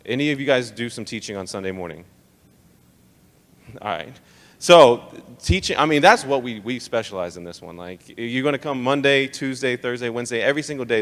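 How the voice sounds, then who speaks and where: A young adult American man addresses church leaders in a live talk, his mid-range voice ringing with long reverb in a hall. He is informal and slangy, leaning hard on key words and putting rhetorical questions to the room in a conversational way. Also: mid-range voice. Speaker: speaker leading a church leadership training session.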